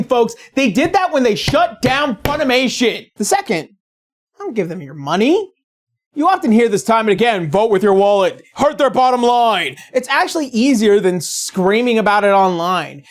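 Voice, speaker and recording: A woman delivering scripted, opinionated commentary as a video essayist, in a sarcastic, blunt and profane manner. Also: on the high side.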